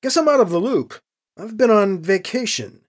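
A man's voice: sits high, expressive, normal speed, voice pushed up. A man voicing a sarcastic, bluffing male priest in an audiobook, with a cocky, quipping delivery. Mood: wry. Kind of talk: audiobook character acting.

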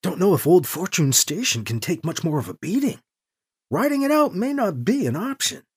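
A man's voice: sits high, big pitch swings, moderate pace, husky, exaggerated voice. A man playing a wry, anxious protagonist in an audio story, muttering terse, sardonic thoughts aloud. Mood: wry worry. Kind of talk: audio story character acting.

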